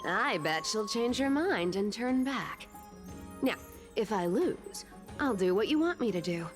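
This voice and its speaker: A woman's voice: medium pitch, lively intonation, unhurried, faint music behind, natural voice. An actress voicing a sly, greedy female thief demigod in a video game, teasing and theatrical. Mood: cocky, bargaining. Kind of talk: video game character acting.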